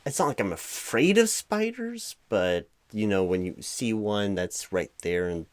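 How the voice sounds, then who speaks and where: A man playing a flustered, distracted hiring manager in a comedic audio roleplay, speaking in a halting, stammering, awkwardly formal way. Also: on the low side, lively intonation, no echo. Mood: rattled, hesitant. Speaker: performer playing a job interviewer. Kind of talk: one-sided comedic audio roleplay, loud spoken.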